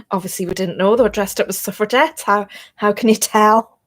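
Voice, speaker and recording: A woman's voice: mid-range voice, normal speed. A woman with a North East English accent chatting to camera in a meandering way, her throat a little froggy and chesty. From North East England. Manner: chatty and meandering, drifting between topics. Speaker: vlogger. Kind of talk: casual chat to camera.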